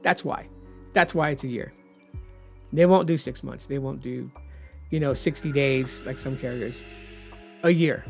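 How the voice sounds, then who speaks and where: A man explaining phone unlock rules in a casual, rambling vlog, hoarse as he says he is losing his voice. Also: mid-range voice, measured pace, pauses often, soft background music. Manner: chatty, rambling, opinionated. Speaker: tech vlogger.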